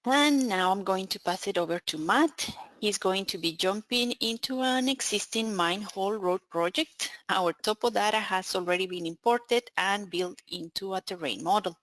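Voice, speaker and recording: A woman with a Spanish accent, an account manager presenting a scripted webinar in a careful, steady way. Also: mid-range voice, normal speed, no echo, low-quality recording.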